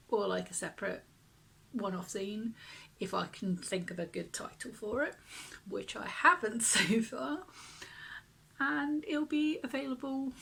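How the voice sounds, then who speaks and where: Non-native speaker, Finnish first language, young adult; slow, breathy, hushed open-mic reader. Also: female voice, on the high side, low-quality recording. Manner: soft-spoken, often half-whispered, informal with swearing. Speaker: zine maker reading their work. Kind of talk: casual reading at a virtual open mic.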